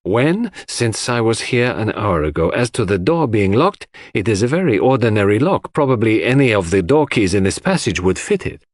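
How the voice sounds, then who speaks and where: A man voicing a grave, courteous foreign detective in an audiobook, speaking in a formal, measured, emphatic way. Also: lowish voice, big pitch swings, dry recording, studio quality. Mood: perplexed. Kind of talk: audiobook character voice.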